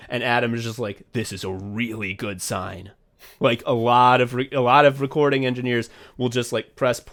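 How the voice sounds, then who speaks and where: A man co-hosting a podcast tells long, rambling stories in casual chat. He swears freely, restarts his sentences and gets animated as he goes. His voice sits at a middle pitch for a man. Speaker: podcast co-host. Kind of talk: casual behind-the-scenes chat.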